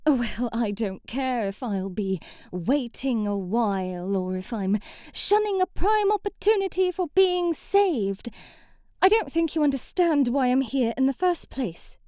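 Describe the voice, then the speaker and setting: A woman's voice: sits high, animated, measured pace, mellow, smooth, no echo, clean studio sound. A woman playing a haughty, sheltered young princess in a scripted ASMR roleplay, prim and bratty, with formal, old-fashioned wording and an easily flustered temper. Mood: prim, defensive.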